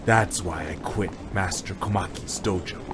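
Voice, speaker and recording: A man's voice: deep voice, normal speed, background noise, lightly acted. A man playing a frank, earnest sushi chef with a fighting past in an audio drama. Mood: reflective. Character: candid male sushi chef, former fighter. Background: music.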